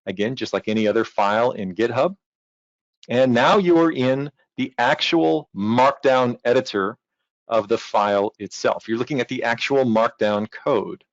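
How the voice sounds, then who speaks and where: A man's voice: mid-range voice, a little slow, no room sound, highs cut off. A man giving an informal technical tutorial as a presenter, in a casual, reassuring, conversational manner. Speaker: technical documentation team member, presenter.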